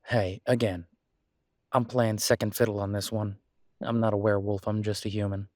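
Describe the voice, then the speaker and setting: A man's voice: fairly low pitch, little pitch movement, moderate pace, no room sound, studio quality, lightly acted. A man playing a devoted, reassuring human boyfriend in an intimate roleplay audio, speaking casually and hesitantly. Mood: sheepish, amused. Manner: hesitant, casual, soothing, with soft chuckles. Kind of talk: roleplay audio acting, intimate one-sided conversation.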